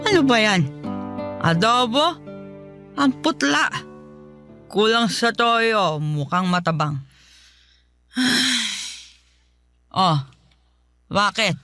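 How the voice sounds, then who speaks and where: Slow, cranky elderly mother. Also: on the high side, expressive, sharp tone, soft background music, full character voice. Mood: scornful, grumbling. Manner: grumbling, fault-finding, slow. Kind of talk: radio drama acting.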